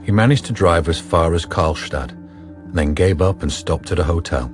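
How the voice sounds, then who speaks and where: A man's voice: sits low, moderate pace, soft background music. A man narrating scripted story passages for a radio drama.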